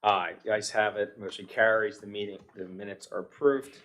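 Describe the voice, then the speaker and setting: Flat, low-voiced American man chairing a meeting. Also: moderate pace, slight background noise. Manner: procedural, reading long legal text aloud. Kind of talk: formal reading of ordinances and motions. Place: township public meeting room.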